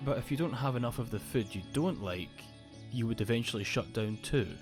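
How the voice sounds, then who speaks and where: A man voicing a friendly, curious robot companion who speaks in a polite, measured and earnest way in an audio roleplay. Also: medium pitch, over music, close to an everyday voice.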